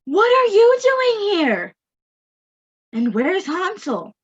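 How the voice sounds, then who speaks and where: A woman's voice: extremely high pitch, wildly swooping pitch, slow, exaggerated voice. A young woman playing an exasperated, bossy fairytale character in a comic stage play. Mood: outraged. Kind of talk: comic stage acting over video call. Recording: dull, lo-fi video call audio.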